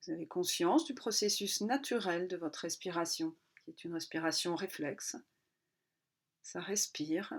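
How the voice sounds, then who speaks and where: A woman yoga nidra instructor leading a guided relaxation in a calm, unhurried way, pausing between short instructional phrases. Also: on the low side, slightly rough.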